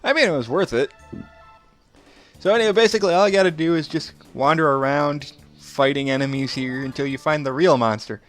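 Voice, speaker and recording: A man's voice: a little high, a little fast, a bit bright, faint music behind. A thirty-year-old man hosting a casual let's play, commenting on the game and often chuckling between remarks. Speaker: gameplay video host.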